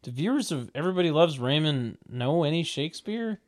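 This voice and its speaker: A man's voice: a little high, animated, a little fast. A man narrating a table read, reading stage directions and adding sarcastic comic asides in a mock-announcing way.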